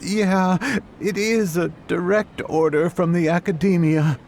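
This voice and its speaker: A man's voice: high voice, a little slow, a little mellow, slight background noise. A man playing an outspoken, loyal, indignant young man in an English anime game dub, with an emphatic manner. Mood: alarmed.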